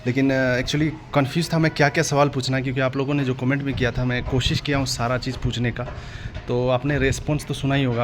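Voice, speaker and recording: A man's voice: medium pitch, background noise. An Indian man hosting an exam-update video, talking casually and directly to viewers with frequent agreement-seeking tags. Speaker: exam-update YouTube host.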